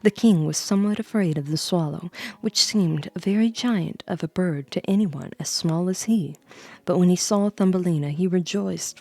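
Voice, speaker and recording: Casting: woman storyteller, slightly low creaky voice, soft ASMR bedtime reading with playful chat asides, dry close sound. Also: dry recording. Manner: playful, rambling asides to viewers.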